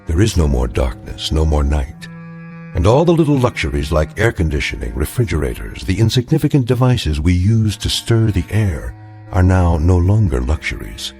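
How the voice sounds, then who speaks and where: An actor as the grave narrator of an eerie radio drama, measured and solemn in his delivery. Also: deep voice, sing-song, darkish tone, faint music behind. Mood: ominous, solemn. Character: grave omniscient narrator of eerie doomsday tales.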